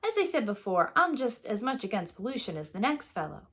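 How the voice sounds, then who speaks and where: A woman's voice: medium pitch, sing-song, brisk, fairly bright tone, slightly rough, heavily acted. A woman voicing a grumpy, stubborn father bear with a grumbling, blustery manner in a children's storybook. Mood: defensive. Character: grumpy, stubborn papa bear, dismissive woodsman.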